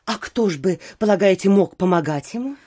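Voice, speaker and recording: A woman's voice: medium pitch, animated, fairly quick, dark tone, close to an everyday voice. An actress playing an affected, catty provincial society gossip in a theatrical audio drama, exclaiming and drawing out her words. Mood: puzzled, prying. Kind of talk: stage-like audio drama acting of a literary classic.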